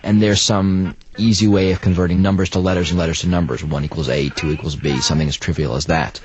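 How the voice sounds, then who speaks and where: A man's voice: deep voice, steady tone, fast, a little mellow. A man giving explanatory interview answers as an expert.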